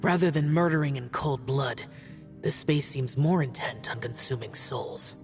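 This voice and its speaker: A man's voice: mid-range voice, soft background music, close to an everyday voice. Man playing a grave, stoic young immortal warrior. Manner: grave, measured, formal. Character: stoic, self-sacrificing young male immortal guardian warrior. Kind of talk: dramatic video game dialogue.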